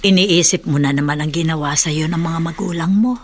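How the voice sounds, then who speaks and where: A woman with a Filipino accent playing a concerned, motherly older woman in a radio drama, gently chiding. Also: low voice, brisk, slight background noise, close to an everyday voice. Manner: questioning, gently chiding, expressive.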